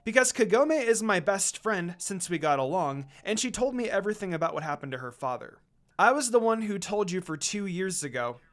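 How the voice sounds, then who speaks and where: A man's voice: high voice, big pitch swings, fairly quick. A man voicing a bold young female wolf demon who speaks firmly.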